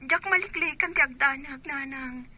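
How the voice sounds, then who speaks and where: Casting: woman playing anxious radio-drama daughter far from home, high-pitched, sharply bright delivery. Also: moderate pace. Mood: worried, affectionate. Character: worried grown daughter working abroad, phoning her mother. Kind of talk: Ilocano radio drama acting.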